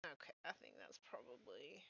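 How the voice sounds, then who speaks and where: A woman's voice: rumbling low, very animated, moderate pace, bright, forceful tone, slightly rough. A woman streaming as she paints miniatures, chatting casually and thinking aloud as she works, a little nasal from a cold. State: has a cold, a bit nasal. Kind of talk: casual chat while painting. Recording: dull, slightly lo-fi stream audio.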